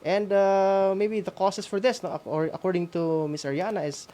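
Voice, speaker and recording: A man's voice: high voice, fairly even intonation, moderate pace, mellow. Man, Filipino accent, hesitant phrasing, frequent tag questions, informal educational host. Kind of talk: informal lesson recap to students.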